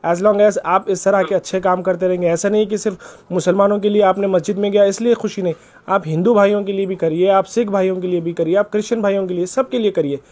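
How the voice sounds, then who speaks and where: A man's voice: high-pitched, steady tone, fast talker, darkish tone. A man making an earnest, persuasive appeal on a recorded phone call, polite and deferential, repeating himself for emphasis. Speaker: caller.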